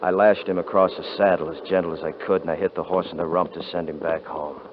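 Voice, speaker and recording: A man playing a brash, rough frontier hunter. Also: sits low, flat delivery, fast talker, heavy background noise, lightly acted. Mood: grim narration. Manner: rough rural grammar, boastful. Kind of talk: 1950s radio drama acting and narration. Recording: muffled vintage radio recording.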